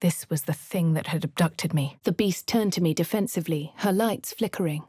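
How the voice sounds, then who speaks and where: An actress with a posh English accent playing a composed adventurer in a game, speaking in a dry, measured, lightly sardonic way. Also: female voice, fairly low pitch, darkish tone, husky, no echo. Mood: wry. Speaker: player character. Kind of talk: game voice lines. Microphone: studio mic.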